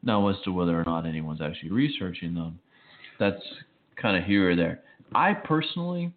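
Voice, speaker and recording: A man's voice: low-pitched, expressive. A man co-hosting a casual radio chat, teasing his partner dryly and restarting his sentences often. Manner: dry teasing, halting restarts. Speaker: co-host. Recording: phone-like narrow band.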